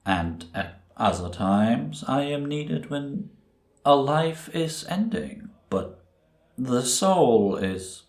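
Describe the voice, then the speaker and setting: Male actor as solemn Grim Reaper, very slow and deliberate, low-pitched, gentle gravity, cinematic audio drama, roomy reverb. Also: very animated. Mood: solemn, probing. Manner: measured, grave, deliberate, cryptic. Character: solemn, gentle male Death, a reaper who was once human. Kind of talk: cinematic audio roleplay acting.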